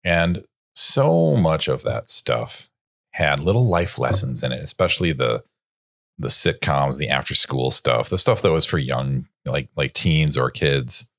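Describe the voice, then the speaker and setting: A man in his late forties chatting reflectively as a podcast co-host, thinking aloud in a wry, halting way. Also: a bass voice, lively intonation, bright, forceful tone, dry recording, clean studio sound. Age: late 40s. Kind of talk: casual reflective panel chat.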